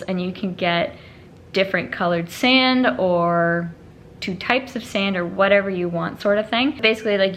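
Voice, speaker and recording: A woman's voice: mid-range voice, fairly bright tone, a little hiss or hum. A young woman chatting casually to the camera at home, upbeat and rambling, sounding congested and a little nasal from allergies. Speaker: lifestyle vlogger.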